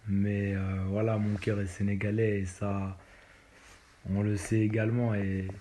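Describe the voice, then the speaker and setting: A man's voice: fairly low pitch, little pitch movement, measured pace, dark tone, slight background noise. A young man in his twenties from Belgium, answering interview questions casually and hesitantly, with many fillers and restarts. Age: 20s. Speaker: footballer interviewee.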